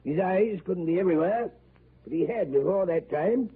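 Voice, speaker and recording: An assured club gentleman. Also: sits high, lively intonation, normal speed. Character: confident English club gentleman at cards. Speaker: actor in a radio play. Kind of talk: 1930s radio acting. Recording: tinny lo-fi 1930s radio broadcast, faint hiss.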